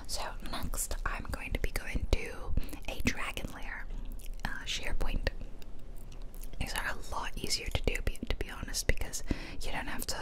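A woman whispering chatty, self-deprecating commentary over gameplay in a soft, breathy ASMR style. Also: moderate pace, rough recording. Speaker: ASMR gaming video host.

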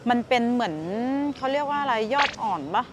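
A woman's voice: fairly high pitch, brisk, noisy background. A woman in her thirties, vlogging casually as she walks a grocery store and tastes food in her car, talking straight to viewers, recorded on a phone held at arm's length. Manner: chatty, addresses viewers directly, excitable. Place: supermarket aisles and parked car. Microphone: handheld phone camera at arm's length. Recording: phone video with music underneath. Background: background music.

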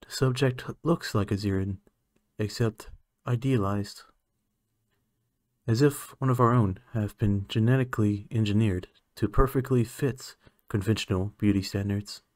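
A man playing an aloof, condescending alien scientist in an ASMR roleplay, speaking in a clinical, measured, haughty manner. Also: fairly low pitch, darkish tone, no echo, clean studio sound, lightly acted. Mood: clinical, musing. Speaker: voice actor.

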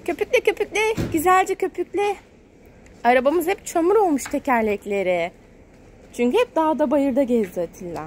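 A woman's voice: high-pitched, expressive, moderate pace, a little mellow. A woman narrating casually to a small child as a mother filming a family vlog, encouraging and repeating words in a sing-song way. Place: outdoors at a car wash. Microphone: handheld phone camera, speaker behind it. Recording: outdoor car wash background noise.

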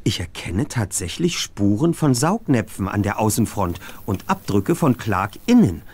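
A man's voice: fairly low pitch, big pitch swings, moderate pace, darkish tone, slightly rough, exaggerated voice. A man playing a brainy, self-assured teenage boy detective in an adventure game, with precise, formal diction. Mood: analytical. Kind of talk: German adventure game voice acting.

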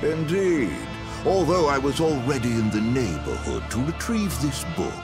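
A man playing a haughty old sorcerer in a video game, speaking in a measured, lecturing manner. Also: mid-range voice, sing-song, lightly acted. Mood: lofty composure. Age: older. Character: haughty old bearded sorcerer, cold and lofty. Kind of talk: dramatic video game acting. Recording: game audio with music behind.

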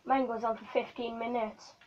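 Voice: mid-range voice, flat delivery, moderate pace, lo-fi sound, a little hiss or hum. An informal, chatty speaker, talking casually in a home video.

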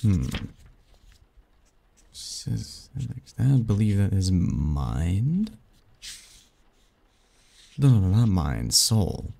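A man acting a low, creaky, snarky research assistant. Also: no room sound, full character voice. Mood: absorbed, muttering. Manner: wry, pedantic, halting mumbles and soft chuckles. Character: snarky, cynical young male research assistant, ambitious and bookish. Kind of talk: scripted ASMR audio roleplay, conversational.

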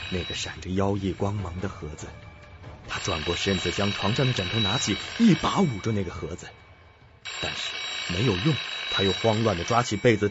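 Deep voiced guy narrating a suspense radio play, ominous and dramatic, bit muffled, music under it. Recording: dull lo-fi recording with music bed.

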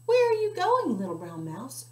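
A woman voicing a jittery owl in a storybook, with a shrill, very high voice. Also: wildly swooping pitch, moderate pace, mellow, echoey room. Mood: hooting, curious. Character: hooting, easily startled owl.

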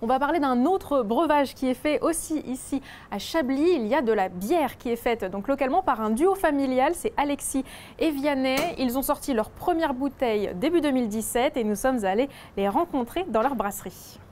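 A woman's voice: high voice, lively intonation, moderate pace, darkish tone, slight background noise. A woman in her thirties presenting and asking questions in a regional television interview. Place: indoors in a restaurant and wine shop.

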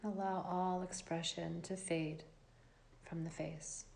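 A woman leading a guided yoga relaxation as an instructor, speaking in a calm, measured, gently directive way with long pauses. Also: on the low side, gravelly.

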